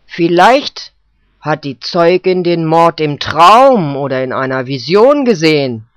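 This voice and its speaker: A narrator voicing a smug male kangaroo judge who hands down loud, pompous rulings. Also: medium pitch, sing-song, slow pace, no room sound. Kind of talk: storybook character voice. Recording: dull, dry recording.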